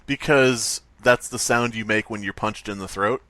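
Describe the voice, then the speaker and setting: A man's voice: fairly low pitch, steady tone, normal speed, sharp tone, no room sound. A man co-hosting a comedy podcast, telling anecdotes and joking in a casual, self-mocking way. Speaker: podcast co-host. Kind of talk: casual comic banter and anecdotes.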